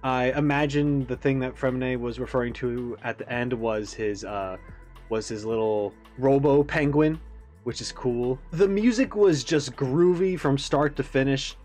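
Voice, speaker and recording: A man's voice: mid-range voice, unhurried, a little mellow. A man hosting a reaction video, giving casual, off-the-cuff commentary. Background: faint music.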